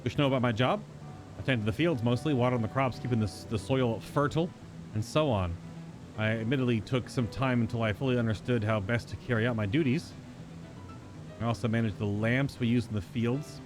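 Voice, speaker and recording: An earnest, contented farm worker from a fantasy game, read aloud by a man. The voice is mid-pitched, polite and measured. Music plays clearly underneath. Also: natural voice. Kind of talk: reading game dialogue aloud. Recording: music behind the voice.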